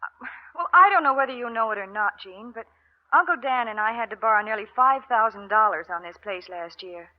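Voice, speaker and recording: A woman's voice: on the high side, lively intonation, a little fast, natural voice. An American woman playing an earnest, worried young ranch woman in an old-time western radio drama. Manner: earnest, polite. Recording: muffled, noisy old radio recording.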